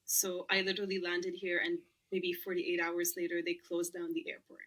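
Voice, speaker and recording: A young woman with a bright, clear voice. Her is Arabic, but she talks easily in English. She is chatty and laughs often as a podcast guest. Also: lowish voice, completely flat delivery, normal speed, low-quality recording. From Lebanon. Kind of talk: casual interview chat.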